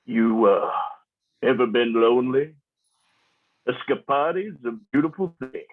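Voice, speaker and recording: A man's voice: medium pitch, measured pace, natural voice. A man playing a swaggering, laid-back alien frog outlaw in a comedy script read, cocky and slangy, over a muffled, narrow connection. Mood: boozy, wistful. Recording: muffled, phone-like narrow sound.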